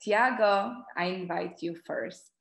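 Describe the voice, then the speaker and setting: A woman moderating a conference roundtable, politely introducing each speaker with frequent filler pauses. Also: lowish voice, creaky, reverberant. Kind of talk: formal introductions at a conference panel.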